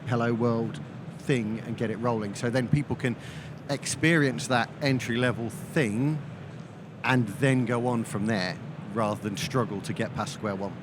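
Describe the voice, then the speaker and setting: A man in the audience of a live seminar, informally putting a question to a panel. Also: mid-range voice. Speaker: audience member asking a question. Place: live trade show seminar. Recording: clear background noise.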